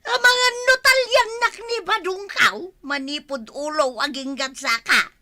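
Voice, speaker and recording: A woman's voice: sits high, measured pace, bright, forceful tone, no room sound, exaggerated voice. A woman with a Filipino Ilocano accent acting a distraught, swindled village wife in a radio comedy drama, wailing and lamenting.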